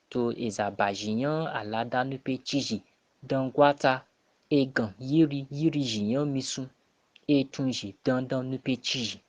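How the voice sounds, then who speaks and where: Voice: medium pitch, no room sound. Dull lo-fi recording of a contributor. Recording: dull, muffled, dry lo-fi audio.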